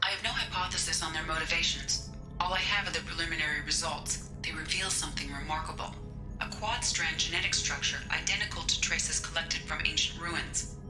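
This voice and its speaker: An actress voicing a composed female starship artificial intelligence in a video game, speaking in a precise, formal and measured way. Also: deep voice, roomy sound, over music, natural voice, rough recording. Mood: detached, analytical.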